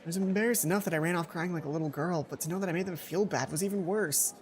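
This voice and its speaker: A young adult man reading a visual novel aloud as a let's play host, expressive, often correcting himself and dropping in casual commentary. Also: fairly high pitch, rapid-fire. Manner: expressive, self-correcting reader. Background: game music.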